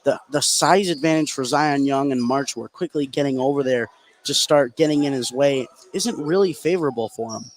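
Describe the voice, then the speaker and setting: A man's voice: medium pitch, normal speed. A man giving conversational, explanatory color commentary on a live basketball game.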